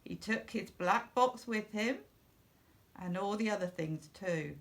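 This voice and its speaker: A woman narrates a children's storybook at a slow, deliberate pace. Her voice has a noticeable creak, and she stresses phrases expressively for young listeners. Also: mid-range voice. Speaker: storybook reader.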